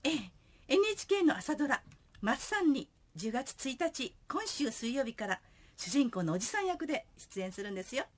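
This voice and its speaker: A woman's voice: high voice, big pitch swings, brisk. A woman playing a polite, reserved daughter in a comic radio drama. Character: polite young daughter, repeatedly declining invitations.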